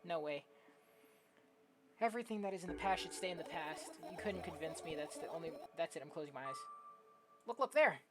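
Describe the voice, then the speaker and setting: A woman's voice: on the low side, animated, fairly quick, pauses often, close to an everyday voice. A woman voicing an anxious, defensive, easily scared schoolgirl in a dramatic visual novel reading. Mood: frightened. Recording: loud music behind the voice.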